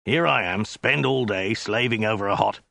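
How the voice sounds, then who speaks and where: A man's voice: lowish voice, moderate pace, gravelly, sharp tone, dry recording. Man as a snarling, bullying Mongol soldier. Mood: impatient. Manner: barking blunt orders.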